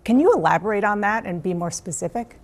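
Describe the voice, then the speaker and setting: A woman working as a TV news interviewer, asking questions in a crisp, formal way. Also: medium pitch, expressive, fast talker.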